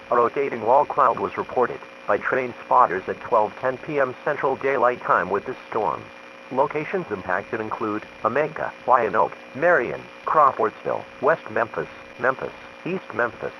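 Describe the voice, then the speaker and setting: Male emergency alert announcer, formal and impersonal, muffled noisy weather radio sound. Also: medium pitch. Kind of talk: scripted emergency alert reading. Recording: dull, noisy weather radio broadcast with hum.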